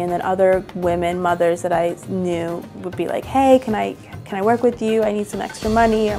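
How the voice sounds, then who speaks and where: Young woman, earnest, chatty, many fillers, mid-pitched voice, clear background music. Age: young adult. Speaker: fashion designer and boutique owner. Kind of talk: candid interview storytelling. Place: indoor room, seated across from interviewer. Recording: soft music underneath.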